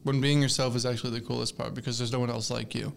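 Gravelly-voiced rambling young man. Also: medium pitch, flat delivery, fast, a little hiss or hum. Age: 20s. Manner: rambling, hesitant, full of fillers and restarts; laughs easily, gets flustered when teased. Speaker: podcast co-host. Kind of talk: casual chat.

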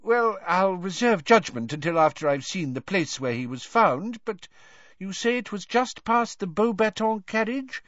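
Brief: a man voicing a fussy official, raised and indignant, lively pitch, dull dry audiobook sound. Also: unhurried, dry recording, heavily acted. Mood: blustering. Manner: blustering, indignant, high-pitched. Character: flustered pompous male government minister.

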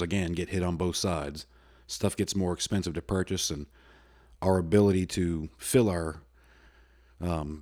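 A middle-aged American man hosting a solo podcast, talking in a loud, folksy, rambling way with many restarts. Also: low voice. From United States. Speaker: podcast host. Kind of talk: solo casual podcast talk.

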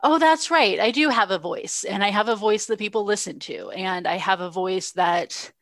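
A woman's voice: mid-range voice, normal speed, fairly bright tone, dry recording. A woman co-hosting a podcast, talking in a reflective, earnest way with frequent restarts. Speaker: podcast co-host. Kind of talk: casual chat.

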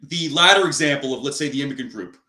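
A man's voice: medium pitch, animated, fast, pushed and bright. Rambling male host, roomy sound. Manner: rambling, with many "you know" fillers. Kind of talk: interview conversation.

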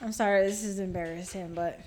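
Mid-pitched woman, insistent casual storyteller. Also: steady tone, a little fast, darkish tone. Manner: slangy, insistent. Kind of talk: casual storytelling in group chat.

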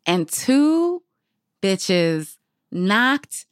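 A woman's voice: mid-range voice, sing-song, very slow, clear, resonant tone, dry recording, studio quality. A woman co-host chatting casually on a podcast, emphatic, slangy and freely profane. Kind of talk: casual conversational podcast chat.

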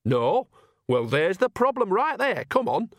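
Man putting on a brash, high-pitched old country witch. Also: very animated, measured pace, no echo, clean studio sound. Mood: blithe. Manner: colloquial, rustic, loud and indignant. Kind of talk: audiobook character voice.

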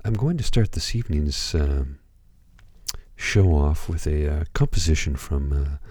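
A hoarse man hosting a radio music show, talking calmly with many pauses and hesitations. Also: very deep voice, no room sound, clean studio sound. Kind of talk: slow, calm radio presentation.

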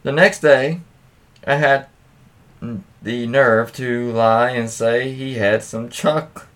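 A man's voice: medium pitch, steady tone, slow pace, fairly bright tone. A man hosting a podcast, reading anecdotes aloud casually with informal, profane asides and interjections. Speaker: podcast host. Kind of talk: casual read-aloud of anecdotes with offhand commentary.

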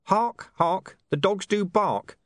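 A man voicing a scruffy, streetwise male talking dog with a high, brash voice. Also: animated, takes it slowly, dry recording, clean studio sound. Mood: cocky.

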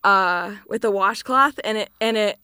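Young female co-host, mid-pitched, casual and slangy, close dry recording. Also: unhurried, sharp tone, clean tone, no room sound. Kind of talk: casual chat.

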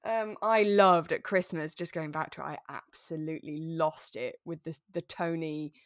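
A woman's voice: low voice, moderate pace, dry recording, studio quality. A British woman co-hosting a podcast, chatting informally and enthusiastically about a radio drama. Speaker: podcast co-host. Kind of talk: casual chat discussing a radio drama.

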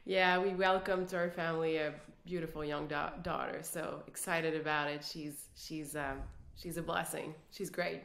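A woman's voice: low-pitched, steady tone, moderate pace, creaky, few pauses, a bit bright. A woman from City, a guest speaking earnestly in a podcast interview, with many restarts. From Quebec City, Canada. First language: French. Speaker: guest executive. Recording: roomy echo.

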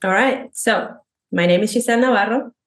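A woman's voice: mid-range voice, brisk. A woman in her thirties from Argentina, speaking English with an Argentine Spanish accent as a podcast guest, thoughtful and laughing lightly as she talks. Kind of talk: conversational interview.

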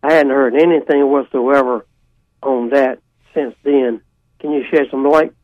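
A man's voice: medium pitch, steady tone, a little slow, dry recording, phone-quality sound. A man calling in to a radio show with a hesitant question. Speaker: caller.

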